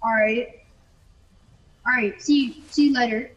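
A woman's voice: fairly high pitch, steady tone, unhurried, highs cut off. A female player in casual game voice chat, giving defiant, childish comebacks.

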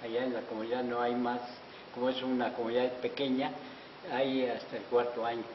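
A man's voice: mid-range voice, little pitch movement, fairly quick. Older man, from Oaxaca, native Zapotec speaker, modest storyteller, interview, long hall reverb, faint hiss. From Oaxaca, Mexico. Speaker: interviewee, artisan promoter. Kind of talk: interview answers. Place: wood-paneled auditorium hallway, indoors. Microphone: handheld interviewer mic. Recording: echoey hall, dull lo-fi sound with hiss.

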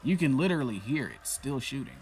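A man giving casual, profanity-laced commentary while playing a shooter game, reacting loudly to what happens on screen. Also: medium pitch, lively intonation, fairly quick, creaky, poor audio. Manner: swears freely, reacts loudly to in-game events. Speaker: gameplay commentator.

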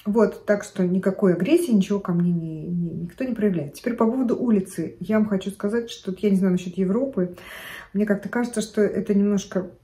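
Middle-aged woman vlogger, mellow tone, chatty and digressive, filmed in a living room. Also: medium pitch, fairly quick. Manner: chatty, digressive, gestures a lot while talking. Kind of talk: casual chat to camera. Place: living room at home, indoors.

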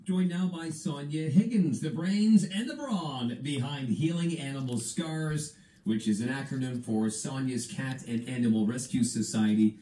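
Canadian guy hosting country radio, chatty, mellow voice, playing off a radio in an echoey room. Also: medium pitch, unhurried. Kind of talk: chatty radio presenting and interview.

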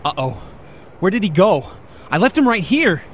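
Young reluctant hero , played by a man: unsure, nervous, very varied pitch, radio play. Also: very animated, moderate pace, fairly bright tone, a little hiss or hum. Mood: anxious, upset. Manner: hesitant, anxious. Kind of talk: fantasy audio drama acting.

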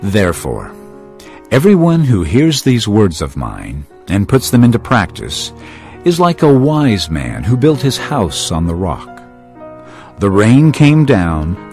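Solemn Jesus, low and expressive. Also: male voice, exaggerated voice. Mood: solemn, warning. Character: Jesus, solemn authoritative male teacher and healer. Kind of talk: dramatised audio Bible acting.

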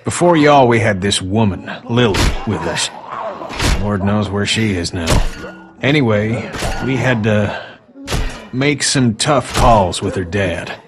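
An actor playing a grieving, blunt survivor with a Southern US accent in a video game, gruff, folksy and halting. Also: male voice, fairly low pitch, animated, music in the background. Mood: rueful.

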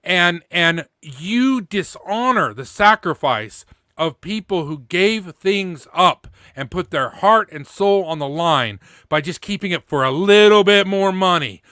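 A man's voice: high-pitched, pushed and bright, smooth, no echo. A middle-aged man giving blunt, indignant, sweary opinion in unscripted commentary, close on a studio mic. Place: small indoor home studio. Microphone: close studio microphone with pop filter, headphones.

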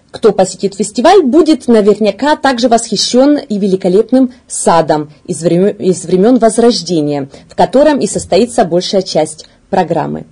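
A woman's voice: mid-range voice, warm tone, breathy edge. A woman in her thirties from Ukraine, presenting scripted news in a studio. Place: small indoor video studio.

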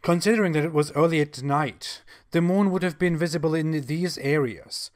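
A man's voice: a little high, moderate pace. A man speaking in an explanatory, argumentative style.

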